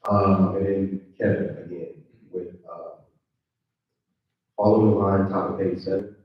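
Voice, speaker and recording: Low-voiced man in a very echoey room. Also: little pitch movement. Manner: halting, thinking aloud, demonstrating lines. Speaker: director giving notes at a table read. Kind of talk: informal spoken feedback to actors. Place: live in-person event room. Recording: echoey room, distant muffled mic.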